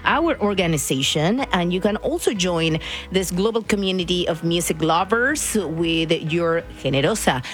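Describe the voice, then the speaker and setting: A woman's voice: on the low side, expressive, normal speed, faint music behind. A woman from Argentina with an Argentine Spanish accent, chatting casually as a radio DJ and slipping into Spanish words.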